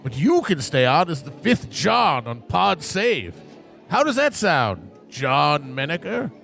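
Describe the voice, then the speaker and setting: A man's voice: a little high, wildly swooping pitch, takes it slowly, creaky, fairly bright tone, faint music behind, heavily acted. A man playing a gloating old villainous tycoon in a parody radio play, pompous and scheming in old-fashioned speech. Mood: scornful, grandiose.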